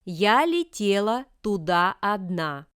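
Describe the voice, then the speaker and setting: Slow, clear-voiced woman reading lesson sentences. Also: medium pitch, very animated, a bit bright, no echo, studio quality. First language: Russian. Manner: careful, deliberate enunciation. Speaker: language lesson reader. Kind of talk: scripted example sentences read for learners.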